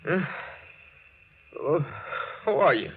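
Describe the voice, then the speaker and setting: A man's voice: fairly high pitch, wildly swooping pitch, takes it slowly, exaggerated voice. An actor in 1940s radio style playing a drunken, groggy, self-pitying man who stammers defensively. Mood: groggy, confused. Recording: muffled, noisy old radio broadcast.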